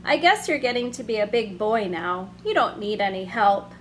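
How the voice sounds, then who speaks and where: A woman's voice: medium pitch, lively intonation, moderate pace, a little hiss or hum, voice pushed down, natural voice. A woman reading the lines of a father in a children's story, voicing his firm, disappointed reproach to his son. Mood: mild. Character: a young boy's father, disappointed and stern.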